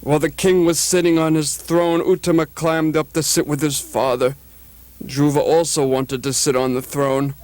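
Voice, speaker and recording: Man as a higher-voiced royal messenger. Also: flat delivery, normal speed, a little mellow, close to an everyday voice. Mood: regretful. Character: apologetic royal messenger bringing bad news. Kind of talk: puppet show dramatic acting.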